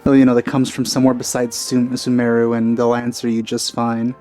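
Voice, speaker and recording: Mellow, wry male gamer. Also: monotone, a little fast, soft background music. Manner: wry, low-key. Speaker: let's play commentator. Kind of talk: casual gameplay commentary.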